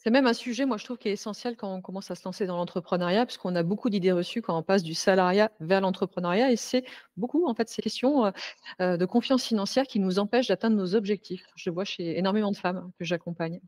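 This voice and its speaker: A woman's voice: medium pitch, fast, a little mellow. A woman hosting an interview, asking questions and commenting in fluent, prepared-sounding phrasing. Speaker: interview host, coach for women entrepreneurs.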